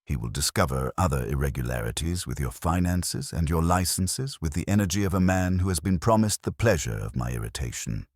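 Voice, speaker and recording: Man playing an older male detective, very low voice, lively intonation, pompous, commanding, mystery audiobook. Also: a little fast, few pauses, no echo, studio quality. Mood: stern, commanding. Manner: pompous, commanding, ornate phrasing. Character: imperious, unhurried, erudite older male private detective. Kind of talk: audiobook detective story dialogue.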